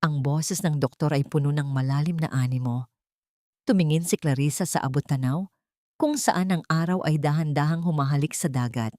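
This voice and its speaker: Low-pitched Filipino woman narrating a dramatic story. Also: moderate pace, mellow, dry recording, studio quality.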